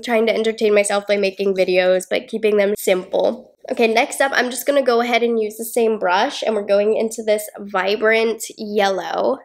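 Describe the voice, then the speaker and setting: A young woman with a congested, slightly nasal voice, chatting upbeat and casually through a makeup tutorial at home. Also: medium pitch. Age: young adult. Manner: chatty, upbeat, laughs often while working. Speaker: beauty vlogger. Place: small home filming room indoors.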